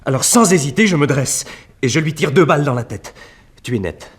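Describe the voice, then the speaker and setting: An actor playing an anxious, polite householder in a vintage French radio drama, with hesitant theatrical delivery. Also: male voice, fairly high pitch, lively intonation, a little fast, husky. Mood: tense, recounting. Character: anxious-seeming householder, secretly scheming, coolly recounts a killing. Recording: 1960s radio drama recording.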